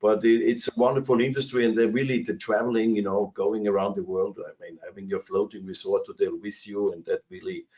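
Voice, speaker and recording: An older man with an Austrian accent tells his career story on a video call. His voice sits low, and he rambles with plenty of fillers and restarts. The call audio is dull and lo-fi. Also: steady tone, fairly quick, few pauses, a little mellow. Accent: Austrian German. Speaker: cruise industry executive. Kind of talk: webinar panel talk. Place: his office.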